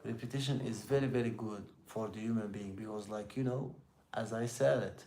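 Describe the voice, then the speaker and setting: Gravelly middle-aged Algerian man, rambling. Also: on the low side, fairly even intonation, warm tone. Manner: rambling, repetitive, halting second-language English. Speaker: livestream host. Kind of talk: casual motivational chat with live viewers. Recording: roomy echo with faint background noise.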